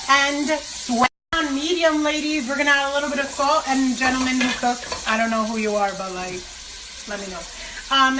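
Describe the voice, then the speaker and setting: Chatty woman cooking over a sizzling pan. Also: high-pitched. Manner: chatty and joking, talks straight to viewers. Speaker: cooking show host. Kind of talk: casual cooking demonstration. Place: kitchen by the stove. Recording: frying sizzle noise in a kitchen.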